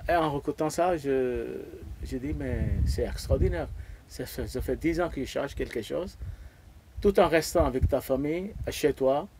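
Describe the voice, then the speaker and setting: A man of about seventy, from Pakistan and speaking, answering interview questions in an earnest, halting way and often repeating words as he searches for them. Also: medium pitch, background noise. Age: around 70. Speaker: interview guest.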